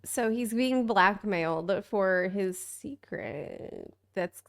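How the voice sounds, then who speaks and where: A woman's voice: mid-range voice, slow pace. A woman live-streaming a game, chatting casually and reacting to what happens. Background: faint game music.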